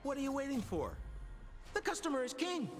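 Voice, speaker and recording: An actress playing a smug, playful money-loving merchant in a fantasy video game, theatrical and teasing. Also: female voice, sits high, very animated, a little fast, soft background music. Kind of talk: video game battle barks.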